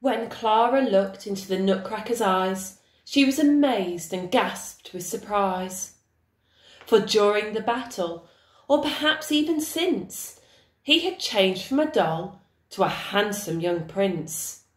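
A woman's voice: medium pitch, animated, slow pace. A woman reading a children's storybook aloud, recorded in an echoey room.

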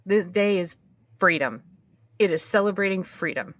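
A woman's voice: lowish voice, expressive, moderate pace, no room sound. A woman in her early fifties speaking candidly and reflectively in a conversational interview, repeating phrases for emphasis. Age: early 50s. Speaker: podcast host being interviewed.

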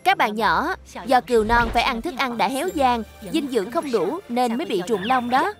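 A woman voicing a kindly aunt who gently explains things to children in a dubbed cartoon. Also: fairly high pitch, expressive, moderate pace, faint music behind. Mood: calm, explaining.

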